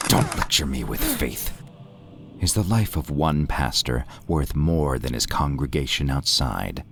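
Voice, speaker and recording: A menacing villain with an extremely low, rumbling voice full of gravel, played by a man. He taunts his captive slowly and deliberately. Dark music sits under his lines. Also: expressive, exaggerated voice. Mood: taunting. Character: cold, scornful male traitor warrior villain. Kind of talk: fan audio drama acting.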